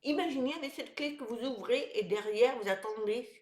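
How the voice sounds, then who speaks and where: A woman's voice: a little high, brisk, darkish tone, reverberant. A woman with a head cold, her voice nasal and stuffy. She gives wellness tips to her viewers in a hesitant, casual way, with many fillers and restarts. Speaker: wellness vlogger. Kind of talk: casual talk to camera.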